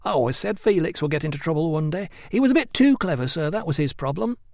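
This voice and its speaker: A man voicing a chatty, nosy male barber who talks in rough, colloquial grammar. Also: on the high side, animated, quick pace, a little mellow, no echo, studio quality, pitched above the performer's own voice. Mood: gossipy. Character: chatty gossiping male barber, middle-aged.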